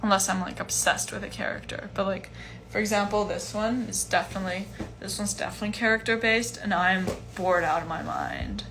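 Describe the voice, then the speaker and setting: A young woman in her twenties answering fan questions in a casual livestream from home, relaxed and chatty with lots of fillers. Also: medium pitch, fairly quick, faint music behind. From Iceland. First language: Chinese. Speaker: musician hosting a livestream Q&A. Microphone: phone livestream.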